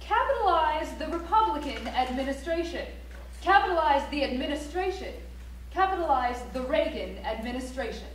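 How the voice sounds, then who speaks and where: A woman's voice: sits high, lively intonation, moderate pace, a little hiss or hum. An actress playing a prim, instructive woman reciting style manual rules in a stage play in an auditorium. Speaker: stage actor. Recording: echoey auditorium from far off, background ambience.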